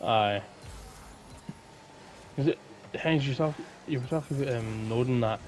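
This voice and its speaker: A man's voice: mid-range voice, a little slow, a little mellow, a little hiss or hum. A man with a Scottish accent co-hosting a podcast, telling stories in an informal, rambling way. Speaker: podcast co-host. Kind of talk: casual chat, storytelling.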